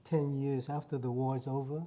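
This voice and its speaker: A man's voice: mid-range voice, little pitch movement, moderate pace, mellow. A man in his fifties from Vietnam, a Vietnamese accent, earnestly telling his life story as a guest. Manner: earnest, repeats phrases while recalling. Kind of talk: casual conversation.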